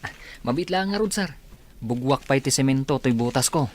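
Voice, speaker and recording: Man as easygoing village workman, deferential, middle pitch, radio comedy, faint vehicle noise. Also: animated, brisk, lightly acted. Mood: helpful. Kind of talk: Ilocano radio comedy drama acting.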